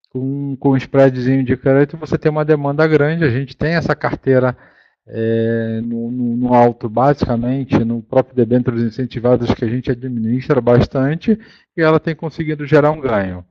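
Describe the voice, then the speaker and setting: A man's voice: medium pitch, darkish tone, no room sound. Brazilian man presenting, dull dry audio. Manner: halting, with repeated words and self-corrections. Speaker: fund manager presenting a conference call. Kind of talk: informal business presentation with explanations.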